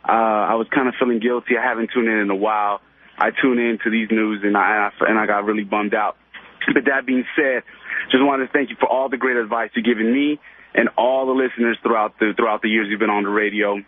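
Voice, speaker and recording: A man's voice: lowish voice, level tone, fast, no room sound. A man in his twenties calling a radio show, chatting casually in an earnest, effusive way. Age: 20s. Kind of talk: casual chat. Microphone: telephone. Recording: tinny phone line.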